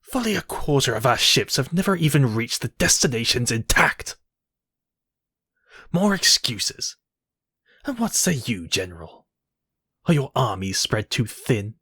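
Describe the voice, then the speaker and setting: Man acting a husky, commanding villain mage. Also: mid-range voice, very animated, sharp tone, no room sound, clean studio sound, exaggerated voice. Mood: furious. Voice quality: breathy, husky, often dropping to whispers. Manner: imperious, deliberate, addressing listener as apprentice. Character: commanding, manipulative male high mage villain, coldly possessive. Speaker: voice actor. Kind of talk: dramatic ASMR villain roleplay.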